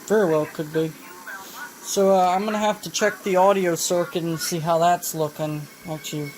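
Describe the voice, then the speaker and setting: Woman with lowish voice doing a casual repair vid, thinks out loud, stop and start, noisy background. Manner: halting, thinking aloud. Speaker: vintage electronics repair hobbyist. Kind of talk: casual hands-on repair demonstration. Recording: noisy home video, steady background noise. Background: clear background noise.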